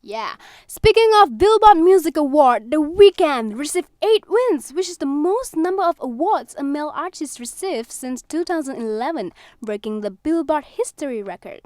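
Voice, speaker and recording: A woman hosting a student radio show, reading entertainment news in a bright, enthusiastic way. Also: high voice, animated, normal speed, hardly any pauses, no room sound, clean studio sound.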